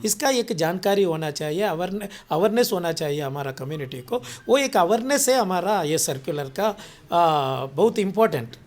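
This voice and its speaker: A man speaks in a distinctly high-pitched voice. He explains things in interview answers, haltingly and with repetition, slipping in English words as he goes. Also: lively intonation.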